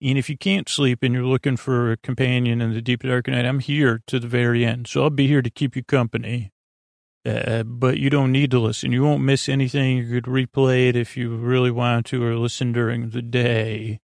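A man hosts a sleep podcast in a mid-pitched, creaky voice. He wanders through tangents with hesitant pauses and low-pressure reassurance. The sound is dry, with very little room around it. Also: little pitch movement, no echo. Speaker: podcast host. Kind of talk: rambling bedtime sleep podcast monologue.

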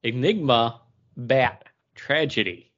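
Man chatting, mid-pitched, slightly dull audio. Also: slow pace, fairly bright tone. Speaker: podcast co-host. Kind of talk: casual chat.

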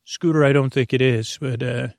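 Man playing a creaky, polite imaginary bunny. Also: medium pitch, steady tone, moderate pace, a little mellow, no room sound, clean studio sound, lightly acted. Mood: patient, polite.

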